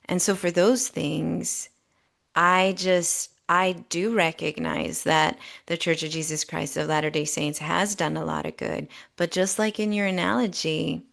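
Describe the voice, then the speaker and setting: A middle-aged woman co-hosting a podcast, speaking in an earnest, emphatic, conversational way with deliberate phrasing. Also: sits low, gravelly, a bit bright. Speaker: podcast co-host. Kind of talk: conversational commentary.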